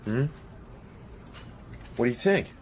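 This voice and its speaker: A haunted, terse American crime writer in a radio drama. His mid-range voice is sardonic and brusque, with clear noise behind it. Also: mid-range voice, animated, takes it slowly.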